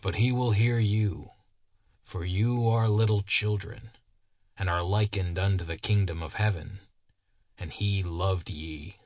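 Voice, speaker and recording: A man's voice: low voice, unhurried, a little mellow, dry recording, dull audio. A man voicing a haggard, simple-minded man who earnestly preaches doom and prays in biblical cadence. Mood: soft pleading.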